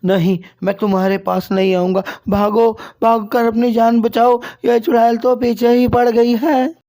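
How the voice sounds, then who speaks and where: A man's voice: extremely high pitch, little pitch movement, a little slow, warm tone, no room sound, studio quality. A man voicing a troubled, frightened husband in a horror cartoon. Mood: terrified. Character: troubled, frightened husband, self-sacrificing friend.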